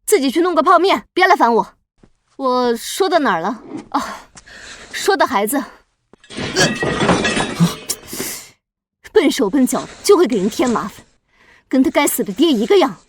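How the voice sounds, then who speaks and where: Scornful, high-voiced bitter mother. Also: sing-song, brisk. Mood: irritated, scolding. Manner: scornful, snapping. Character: bitter, callous mother questioned by police. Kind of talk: animated drama acting.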